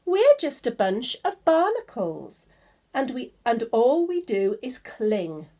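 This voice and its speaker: Humble, contented storybook barnacles, all voiced by one woman, chirping out their rhyme. The pitch is mobile and the words are unhurried. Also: huge pitch swings, mellow, full character voice.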